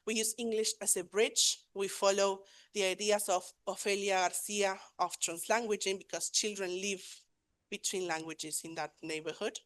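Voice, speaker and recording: A woman presents research to a conference audience in English. Her voice sits at an ordinary middle pitch. She pauses often on fillers and corrects herself mid-sentence as she goes. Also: steady tone, a bit bright. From Argentina. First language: Spanish. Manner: hesitant, many fillers and self-corrections. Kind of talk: academic presentation.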